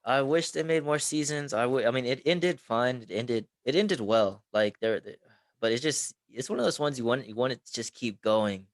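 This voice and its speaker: A man hosting a podcast interview, talking in a halting way with many pauses and restarts. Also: medium pitch, animated, no room sound. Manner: hesitant, lots of ums and restarts. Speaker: podcast host. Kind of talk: casual interview chat.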